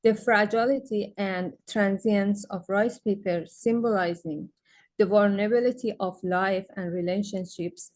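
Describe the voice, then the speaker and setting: Slow, soft-toned Persian-accented woman. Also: medium pitch, fairly even intonation. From Iran. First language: Farsi. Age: young adult. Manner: reads prepared text, hesitant with many fillers and restarts. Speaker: graduate student artist presenting. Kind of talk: academic symposium presentation.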